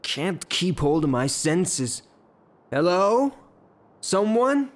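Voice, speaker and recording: Slow, high-voiced lovestruck blustering gentleman played by a man. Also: big pitch swings, heavily acted. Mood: frantic, infatuated. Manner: theatrical, halting, repeats himself. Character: blustering, lovestruck, hot-tempered gentleman landowner. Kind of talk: theatrical audio drama acting.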